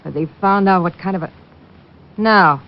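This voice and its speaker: A woman's voice: medium pitch, normal speed, lightly acted. A woman playing a brassy, jealous carnival dame in an old radio detective drama, snappy, slangy, sarcastic and hostile. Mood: spiteful, dismissive. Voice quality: hard-boiled, slangy. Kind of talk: 1940s-50s radio detective drama acting. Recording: muffled old radio recording.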